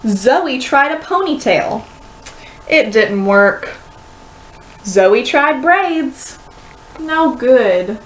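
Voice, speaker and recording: A woman reading a children's story aloud with expressive, dramatic and deliberate phrasing. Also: high-pitched, slight background noise, low-quality recording. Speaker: storybook reader.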